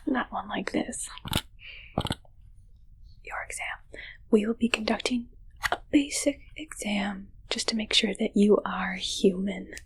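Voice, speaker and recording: A woman in an ASMR roleplay voicing a soft-spoken, awkward alien posing as a ship's doctor, mostly whispering with halting, politely formal delivery. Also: medium pitch, steady tone, breathy edge, heavily acted. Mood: defensive admiration.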